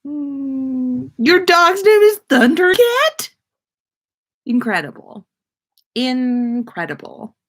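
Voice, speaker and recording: A woman hosting a casual livestream, chatting with viewers in an informal, slangy, mildly sweary way. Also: fairly high pitch. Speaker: podcast host. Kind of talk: casual livestream chat reading viewer comments.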